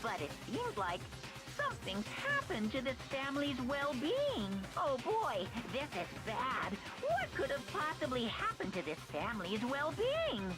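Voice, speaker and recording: High, wildly swooping villain mascot. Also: female voice, huge pitch swings, unhurried, heavily acted. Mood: mocking. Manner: theatrical, mock-concerned. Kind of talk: visual novel read-aloud dub. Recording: loud music behind the voice.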